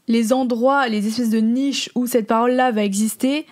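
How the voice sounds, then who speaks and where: A woman's voice: a little high, fairly even intonation, moderate pace, darkish tone, dry recording, clean studio sound. A woman podcast host talking through her thoughts in a casual, hesitant way. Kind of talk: casual chat, scripted show intro.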